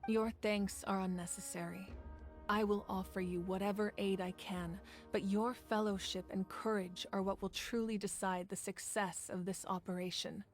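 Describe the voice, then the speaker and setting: A composed, commanding ruler of a fire nation, played by a woman, speaks in an earnest, measured tone at a mid pitch. Faint game music drifts behind her. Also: steady tone, close to an everyday voice. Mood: steady.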